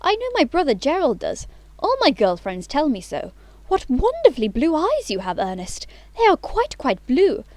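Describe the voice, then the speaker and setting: A woman's voice: sits high, wildly swooping pitch, normal speed, a little mellow, dry recording. A woman playing a self-assured, romantic young upper-class lady in a theatrical audio drama, with an affected, coquettish manner. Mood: adoring, coquettish.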